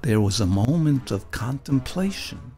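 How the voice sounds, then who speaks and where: A man's voice: mid-range voice, lively intonation, unhurried, darkish tone, faint music behind. A man narrating a scripted first-person memoir like a radio storyteller, theatrically, drawing out words for emphasis. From Brooklyn, New York, United States.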